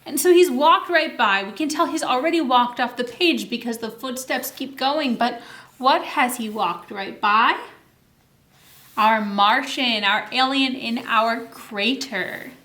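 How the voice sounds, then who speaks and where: A woman hosting story time as a children's librarian, teacherly and animated, explaining a picture book to young children with questions. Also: fairly high pitch, unhurried. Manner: animated, teacherly, encouraging participation.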